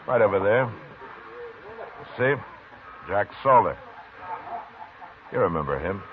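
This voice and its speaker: An actor playing a calm, authoritative frontier marshal in a 1950s radio western, speaking tersely. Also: male voice, lowish voice. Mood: calm, wry. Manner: terse, unhurried. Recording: tinny 1950s radio recording, faint noise.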